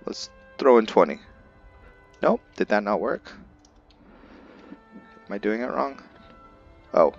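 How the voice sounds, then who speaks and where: A man's voice: fairly low pitch, lively intonation, slow pace, vocal fry, pauses often, highs cut off. A man giving casual game commentary to viewers, rambling as he thinks aloud and explains things. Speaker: gameplay video creator, player. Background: music.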